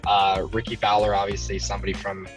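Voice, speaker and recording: A man's voice: fairly low pitch, flat delivery, fast, faint music behind. A man answering casually as a racing interviewee. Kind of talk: casual chat.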